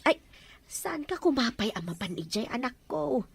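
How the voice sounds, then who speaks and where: Woman playing a soft-spoken, worried older mother. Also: on the high side, big pitch swings, normal speed, creaky, husky, full character voice. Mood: tender, worried. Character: gentle, worried mother fussing over her grown daughter. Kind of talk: Ilocano audio drama acting.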